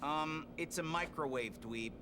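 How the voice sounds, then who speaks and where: A man's voice: on the high side, measured pace, noisy background, natural voice. A man playing a hot-headed teenage turtle in a video game, blunt, slangy and sarcastic. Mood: impatient, sarcastic.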